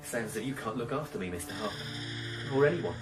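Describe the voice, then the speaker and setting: A man's voice: lowish voice, animated, fast talker, close to an everyday voice. An actor playing a nervous, streetwise male police informant in a radio drama, wary and colloquial. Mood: nervous, pleading. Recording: loud music underneath.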